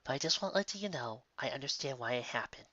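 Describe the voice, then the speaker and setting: Narrator doing a quiet, conciliatory mare. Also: medium pitch, expressive, quick pace, no echo, highs cut off. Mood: earnest, subdued. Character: nervous, soft-spoken, conciliatory female earth pony.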